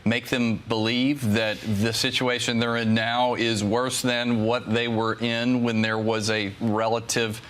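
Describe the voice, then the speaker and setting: A man's voice: medium pitch, flat delivery, unhurried, few pauses, a bit bright, slight background noise. An American man speaking as a national security analyst, giving unscripted commentary as a news guest.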